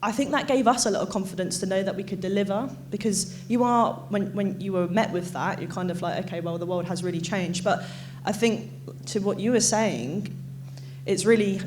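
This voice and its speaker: A woman's voice: mid-range voice, moderate pace, noisy background. A woman speaking on a conference panel, chatty and a little rambling, often restarting her sentences. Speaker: agency panelist. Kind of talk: panel discussion.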